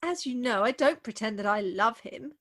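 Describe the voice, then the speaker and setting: A woman playing a prim, excitable young Victorian bride in a comic play, with an English accent and emphatic, theatrical delivery, recorded at home over a video call. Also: on the high side, normal speed. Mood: prim, firm. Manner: theatrical, emphatic, indignant propriety. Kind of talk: comic stage-play acting.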